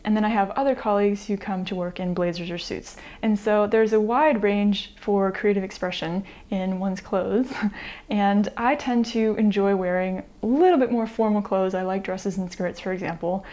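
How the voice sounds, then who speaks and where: An American woman in her thirties, chatting to camera in a small home room on a lapel mic, light and easygoing, with soft laughs between thoughts. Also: mid-range voice. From United States. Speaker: sewing vlogger. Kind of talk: casual chat to camera.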